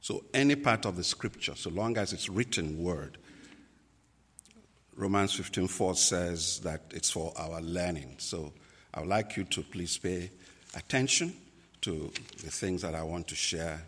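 Slow deep-voiced Nigerian pastor. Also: male voice, a bit bright, slightly rough, long reverb tail. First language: Igbo. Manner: slow and deliberate, with many pauses and fillers. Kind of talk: sermon.

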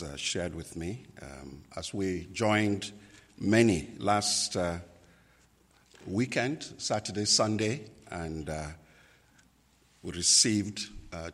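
A man from Nigeria, a native Igbo speaker with a Nigerian accent, preaching a sermon as a pastor, slow and deliberate with many pauses and fillers. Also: low voice, fairly bright tone, slightly rough, long reverb tail.

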